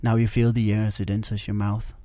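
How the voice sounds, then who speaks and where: A man guiding a meditation in a calm, soothing, instructional way. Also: lowish voice, a little fast, no echo, soft background music. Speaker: meditation guide. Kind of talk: guided meditation narration.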